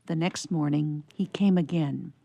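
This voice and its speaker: Low, creaky woman storyteller. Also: unhurried, no echo. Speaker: narrator. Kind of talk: scripted story narration. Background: soft plucked harp underscore.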